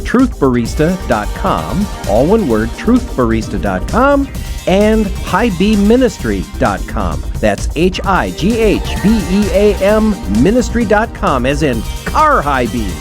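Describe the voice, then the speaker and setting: A man's voice: sits high, huge pitch swings, measured pace. A man hosting a podcast, giving an upbeat, announcer-style welcome. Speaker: podcast host. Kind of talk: show intro. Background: music.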